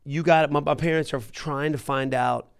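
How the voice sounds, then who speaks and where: A man hosting a podcast, telling stories in casual chat with an animated manner and repeating himself for emphasis. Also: on the high side, moderate pace, creaky, clean tone. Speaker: podcast host.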